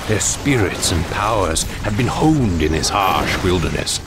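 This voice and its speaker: An actor playing a proud, brooding, vengeful demon hunter lord in a video game cutscene, solemn and theatrical. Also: male voice, low voice, expressive, a little slow, music in the background. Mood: grim resolve.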